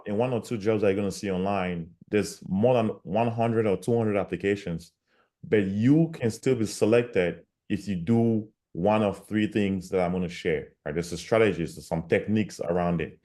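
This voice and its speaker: Man, from cameroon, very deep voice, casual emphatic talk, video call. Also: moderate pace, mellow. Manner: repetitive, conversational, emphatic. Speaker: guest speaker on job searching.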